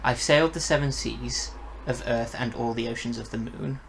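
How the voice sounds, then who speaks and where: A man's voice: mid-range voice, normal speed, vocal fry, a little hiss or hum, low-quality recording. A man in an enthusiastic, casual review chat, explaining details as a fan would. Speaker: review show host.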